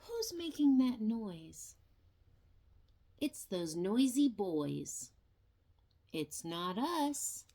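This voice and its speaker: An older woman reads a children's storybook aloud. Her voice sits fairly high and swings widely in pitch. She takes her time, very slowly drawing out each question with expressive, deliberate drama. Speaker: grandmother reading a storybook aloud. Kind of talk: children's picture-book read-aloud.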